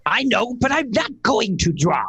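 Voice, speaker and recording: A man's voice: extremely high pitch, very animated, normal speed, bright, forceful tone, husky, dry recording, exaggerated voice. A man playing a defiant schoolmaster who argues insistently for reason in an amateur radio play. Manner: impassioned, insistent. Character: defiant, reasoning schoolmaster pleading against village tradition.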